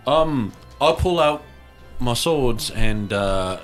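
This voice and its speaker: A man speaks at a slow pace and medium pitch as a player in a tabletop game. His talk is casual, playful and hesitant, with bits of slang. Music plays faintly in the background. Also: animated.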